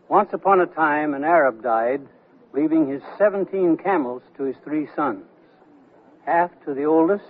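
An older man playing a shrewd, feisty retired investor in a radio comedy, with a dry, deliberate delivery. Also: on the high side, slight background noise, close to an everyday voice. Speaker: radio actor. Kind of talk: 1970s radio comedy acting. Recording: thin narrow-band 1979 radio recording.

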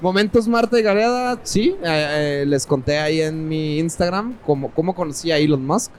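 A man's voice: on the high side, normal speed, a little hiss or hum. A young man with a Mexican accent co-hosting a casual podcast, teasing and joking with his friend in slangy banter. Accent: Mexican Spanish. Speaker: podcast co-host. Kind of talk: casual chat.

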